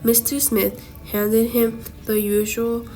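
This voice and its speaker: A woman's voice: on the high side, level tone, slow pace, dark tone, low-quality recording. Woman reading a storybook over music. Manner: stumbles over words, repeats them. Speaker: student reader. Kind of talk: reading a children's storybook aloud. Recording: music playing behind the voice.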